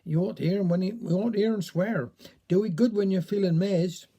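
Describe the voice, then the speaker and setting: A woman's voice: mid-range voice, fairly quick, warm tone, breathy edge. A woman storyteller voicing a gossipy, sly village mother in homely Cornish dialect. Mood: falsely friendly.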